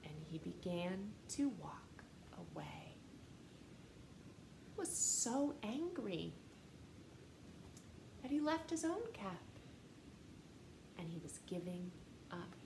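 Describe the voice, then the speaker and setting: A woman in her thirties reading a picture book aloud to children in an expressive, gentle way, seated on a couch at home. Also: mid-range voice, slow pace, vocal fry, long pauses. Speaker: storytime reader. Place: living room, seated on a couch. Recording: echoey room with faint hiss.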